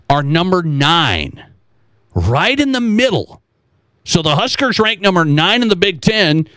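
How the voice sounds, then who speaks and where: High-pitched, bright male sports radio host. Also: dry recording. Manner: animated, poses rhetorical questions to listeners; repeats phrases for emphasis. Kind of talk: conversational sports talk radio monologue.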